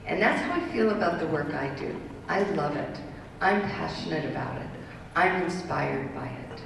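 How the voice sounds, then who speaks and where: A speaker with a gravelly edge talks slowly and earnestly. The voice is heard from a distance. Long hall reverb and steady noise surround it. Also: mid-range voice. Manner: slow, earnest, encouraging. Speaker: event speaker. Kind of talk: closing remarks. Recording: echoey hall from far off, noisy.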